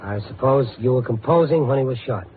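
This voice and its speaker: An American man acts a tough, steady reporter in old-time radio drama. His delivery is dry and clipped, and the sound is narrow and boxy like an old broadcast. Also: medium pitch, fairly quick, a little hiss or hum, close to an everyday voice. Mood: terse, pressing. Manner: clipped, dry, hard-boiled. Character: tough, steady newspaper reporter working the night beat. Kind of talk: 1950s radio drama narration and dialogue.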